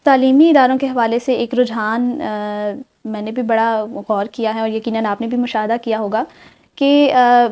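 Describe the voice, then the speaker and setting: A woman's voice: a little high, warm tone. A woman hosting a talk show, putting formal questions to her guest.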